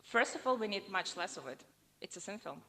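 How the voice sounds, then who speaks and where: A woman's voice: medium pitch, lively intonation, brisk, bright, forceful tone. A woman answering audience questions after her talk, explaining firmly with some hesitant starts. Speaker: conference speaker answering questions. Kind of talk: academic Q&A. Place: lecture hall. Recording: lecture hall reverb, faint noise.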